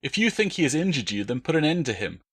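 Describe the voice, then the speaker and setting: A man voicing a principled Roman soldier who stays even, firm and measured under threat in an audiobook. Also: a little high, breathy edge, voice pushed up, exaggerated voice. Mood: firm denial.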